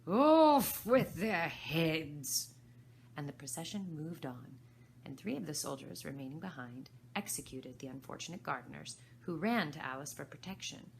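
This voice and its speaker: A woman's voice: low-pitched, brisk, gravelly, slight background noise. A woman reading a children's storybook aloud as a volunteer narrator.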